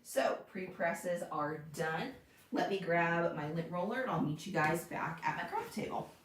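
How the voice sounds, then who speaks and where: Chatty, low-voiced woman crafting live. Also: unhurried, roomy sound, a little hiss or hum. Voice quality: loud voice. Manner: chatty, thinks aloud, laughs often, reads viewer comments. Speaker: crafting livestream host. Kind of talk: casual live crafting chat. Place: home craft room. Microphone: tablet mic across the room.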